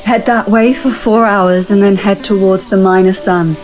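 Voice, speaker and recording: A stern, weary alien village woman voiced by an actress in a sci-fi audio drama. She speaks in terse instructions at a medium pitch, with music clearly behind her. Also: fairly even intonation, normal speed, natural voice, rough recording. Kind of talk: science fiction audio drama acting.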